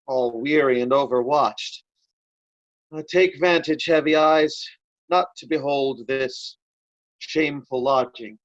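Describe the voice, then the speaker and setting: An actor playing a weary, loyal, stubborn nobleman in a Shakespeare read-through, speaking halting verse. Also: medium pitch, dry recording, highs cut off, lightly acted. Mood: weary, hopeful.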